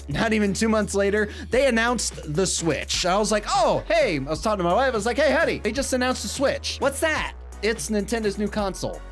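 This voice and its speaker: Animated man with a lively high voice. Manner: animated, gesturing a lot, swings between excited and low asides. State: slightly stuffy from a cold. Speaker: gaming YouTuber reading viewer comments. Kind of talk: casual vlog chat. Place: small room lined with acoustic foam. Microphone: desk mic, webcam framing at head and shoulders.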